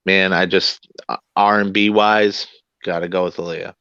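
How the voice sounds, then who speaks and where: Low male co-host, hesitant, casual, dry and slightly dull. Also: flat delivery, moderate pace, pushed and bright, clear, resonant tone, no echo. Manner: hesitant, opinionated, lots of "like". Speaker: podcast co-host. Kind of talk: casual chat.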